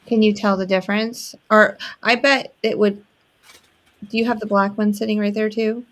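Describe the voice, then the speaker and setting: A woman with a mid-range voice joins a relaxed chat. She speaks in short remarks, offering suggestions and quiet support. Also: medium pitch, normal speed. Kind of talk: casual chat.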